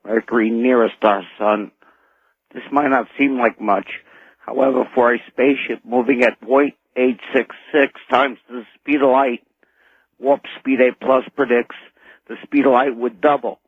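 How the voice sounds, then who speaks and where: A man's voice: mid-range voice, fairly even intonation, a little slow, slightly rough, no echo. A man calling a radio show, reading out a prepared technical statement in a stiff, formal way. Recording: dull phone line.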